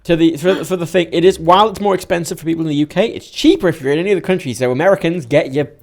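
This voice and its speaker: A man's voice: on the high side, rapid-fire, creaky. A man co-hosting a podcast, rambling and giggling through casual chat. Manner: rambling, giggly, lots of restarts. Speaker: podcast co-host.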